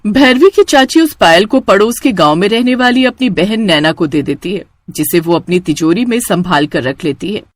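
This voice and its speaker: A woman's voice: medium pitch, lively intonation, normal speed, hardly any pauses, no echo. A woman narrating a cartoon horror story in a scripted, dramatic storytelling style.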